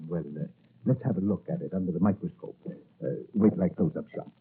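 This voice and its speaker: An actor playing a kindly, conspiratorial doctor and apothecary with a deliberate manner in 1940s-style radio drama. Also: male voice, deep voice, husky. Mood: friendly, pensive. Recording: muffled, narrow-band vintage radio recording.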